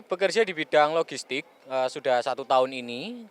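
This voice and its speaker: A man from Surabaya speaks in a clean, clear voice at middle pitch. He answers politely and steadily, with a few fillers. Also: expressive, normal speed. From Indonesia, Surabaya. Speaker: logistics worker. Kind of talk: street interview answers.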